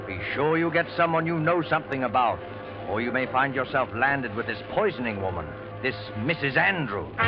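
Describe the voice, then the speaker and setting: An actor playing a suspenseful storyteller, delivering dramatic scripted narration in a 1940s radio style. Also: male voice, mid-range voice, natural voice. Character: suspenseful male storyteller voicing uneasy thoughts. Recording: muffled old radio broadcast. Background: loud dramatic music.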